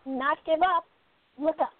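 A shrewd lady detective acted by a woman in a radio drama, very high in pitch and quietly in command. Her phrasing is poised and deliberate, over thin, phone-like audio. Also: no room sound. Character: composed, shrewd female sleuth, quietly in command. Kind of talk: radio play acting.

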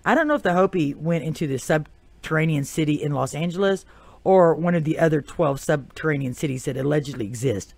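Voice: mid-range voice, a little fast, creaky, darkish tone, dry recording. Texas-accented host reading a mystery story. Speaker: podcast host. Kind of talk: reading prepared narration on a mystery topic. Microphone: new desktop microphone.

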